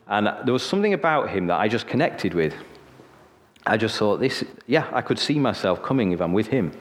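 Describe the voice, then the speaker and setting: A lowish-voiced man with a northern English accent tells his story from the pulpit, relaxed and conversational. He keeps tossing "okay?" out to the room. His voice rings with long reverb, as in a large hall. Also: brisk. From Sheffield, northern England. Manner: chatty, storytelling, frequent "okay?" asides. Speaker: preacher. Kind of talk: sermon with personal testimony.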